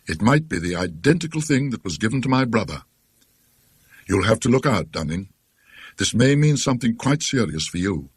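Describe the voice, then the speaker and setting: A British man voicing an earnest, suspicious bereaved gentleman in a ghost story, in a dry, donnish, emphatic way. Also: lowish voice, sing-song, moderate pace, breathy edge, no room sound. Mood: urgent.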